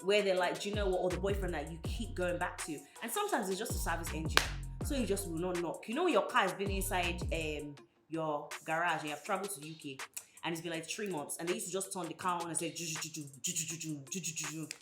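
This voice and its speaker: A quick-talking woman with a Yoruba mother tongue, co-hosting a chatty podcast. She tells stories playfully and slips into the voices of the people she quotes. Her voice rings around a roomy space, with clear music running underneath. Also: fairly low pitch. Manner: playful, animated storyteller acting out quoted voices. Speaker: podcast co-host. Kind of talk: casual chat.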